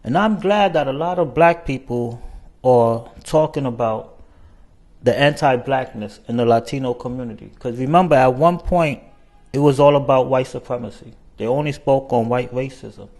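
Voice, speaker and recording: A male host introducing the next clip in a measured, explanatory way. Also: mid-range voice, a little mellow. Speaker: show host.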